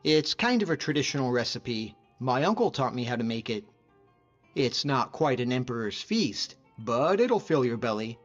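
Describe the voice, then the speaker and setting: A man playing a friendly, modest lone-wolf hunter as a video game companion, speaking in an earnest, measured way. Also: mid-range voice, big pitch swings, lo-fi sound, soft background music. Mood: grateful, modest.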